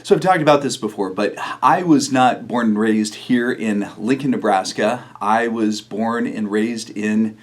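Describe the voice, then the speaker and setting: Middle-aged male pastor with a low voice, relaxed storytelling with fillers, for a video sermon. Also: measured pace, few pauses. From New Mexico, USA.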